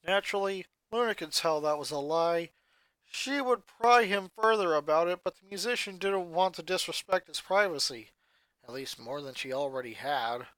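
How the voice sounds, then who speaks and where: An expressive reader narrating a fan fiction story. The pitch moves a lot and the tone is bright. It is recorded close and dry. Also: no echo.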